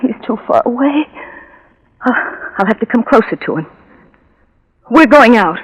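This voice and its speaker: A woman's voice: a little high, lively intonation, normal speed, husky, poor audio. An actress playing a desperate, obsessive, lovesick young woman in a 1940s radio drama, with a faltering, breathless, melodramatic delivery. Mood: obsessive resolve. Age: young adult. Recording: vintage 1940s radio recording.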